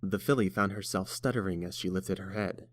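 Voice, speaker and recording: A man's voice: deep voice, a little fast, dry recording. A man reading scripted third-person narration for a fantasy story.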